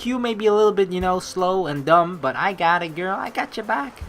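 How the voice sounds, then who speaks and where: A young man narrating and commenting on a game he plays. His voice is bright and swings widely in pitch, with expressive, rambling delivery. Faint noise sits behind him. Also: fairly quick. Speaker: let's play host.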